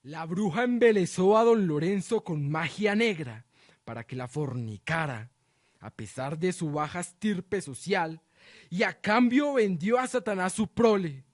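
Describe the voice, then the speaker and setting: A man's voice: high-pitched, animated, a little slow, no room sound, studio quality. A man playing a zealous, solemn accuser who rouses a village against a witch in a radio drama. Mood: damning. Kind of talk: Spanish-language radio drama acting.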